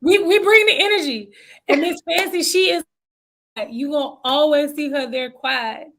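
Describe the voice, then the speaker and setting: A woman's voice: sits high, moderate pace, a bit bright. A woman in her thirties with a Southern US accent, a guest chatting casually and laughing easily. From Southern United States. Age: 30s. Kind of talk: casual chat.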